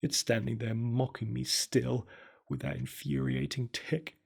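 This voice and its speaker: A man's voice: medium pitch, steady tone, unhurried, vocal fry, husky. A man telling a first-person horror story in a somber, murmuring way.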